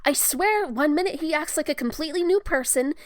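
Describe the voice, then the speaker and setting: A woman's voice: high-pitched, expressive, a little fast, dry recording, clean studio sound. A woman playing an assertive young heiress who runs the family company in an audio drama, firm and exasperated. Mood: frustrated, worried.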